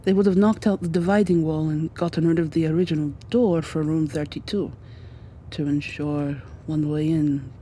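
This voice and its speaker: A woman voicing a devoted, guilt-ridden rescuer in an ASMR roleplay drama, hushed, halting and earnest. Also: sits low, fairly even intonation, a little hiss or hum, lightly acted. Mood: confused, urgent.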